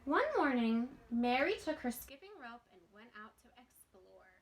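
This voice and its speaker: A woman in her thirties reads a children's storybook aloud expressively, pausing deliberately between phrases. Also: on the high side, animated, rough recording. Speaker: teacher reading a storybook aloud.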